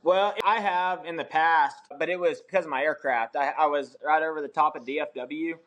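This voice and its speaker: A man's voice: a little high, fairly even intonation, moderate pace, fairly bright tone, muffled recording. A man who works as a pilot, talking casually on a podcast panel.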